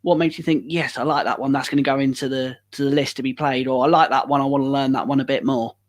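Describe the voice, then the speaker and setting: A man's voice: mid-range voice, steady tone, quick pace. A man interviewing a musician, chatty and teasing, with stumbling restarts. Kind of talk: casual interview.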